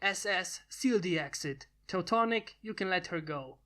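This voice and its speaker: A woman's voice: fairly low pitch, lively intonation, unhurried, fairly bright tone. Woman acting a stern, commanding male lord. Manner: blunt, commanding, solemn.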